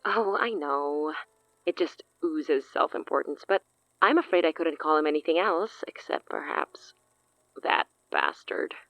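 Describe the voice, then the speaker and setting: A woman's voice: low voice, very animated, measured pace, no room sound, muffled recording, soft background music, heavily acted. A woman playing a smug, menacing sorceress in an audio drama, theatrical and taunting with amused condescension. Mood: wry, ominous.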